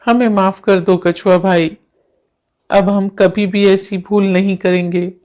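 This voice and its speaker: A woman voicing a male jackal in a children's fairy tale, calling and pleading to his friend in drawn-out phrases. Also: mid-range voice, steady tone, a little mellow, close to an everyday voice.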